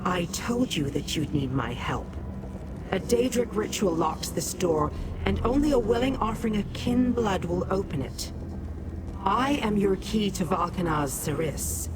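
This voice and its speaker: A woman's voice: on the low side, expressive, background noise. Imperious, vengeful demon woman. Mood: haughty, commanding. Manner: imperious, condescending, measured. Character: vengeful, haughty female Daedra, last of her clan. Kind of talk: fantasy video game voice acting.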